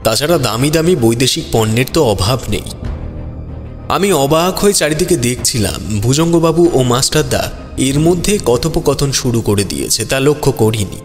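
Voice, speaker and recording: A man's voice: medium pitch, expressive, normal speed, natural voice. A man narrating a scripted detective audio story in the role of the detective's companion. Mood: measured storytelling. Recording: long hall-like reverb. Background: music.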